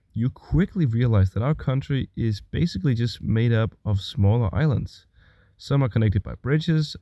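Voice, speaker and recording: A man's voice: on the low side, dark tone, dry recording. A young man with a Danish accent narrates his cycling trip in a scripted voice-over, with a dry, self-mocking humor. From Denmark. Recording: dull, dry voice-over with faint music.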